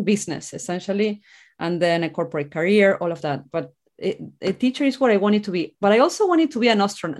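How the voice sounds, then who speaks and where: A woman's voice: mid-range voice, darkish tone. A middle-aged woman from Argentina, a native Spanish speaker with an Argentine accent, telling her own story conversationally as a podcast guest.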